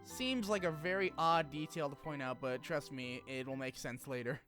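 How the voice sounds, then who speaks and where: A man hosting a video essay, reading a scripted game story recap with informal, animated asides and some swearing. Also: fairly high pitch, normal speed. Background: music under the voice.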